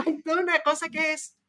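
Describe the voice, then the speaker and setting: Woman, middle-aged, slightly high voice, casual podcast co-host, anecdotal, frequent tag questions. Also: pushed and bright. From Mexico. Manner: conversational, anecdotal, seeks agreement with tag questions. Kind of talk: casual nostalgic chat.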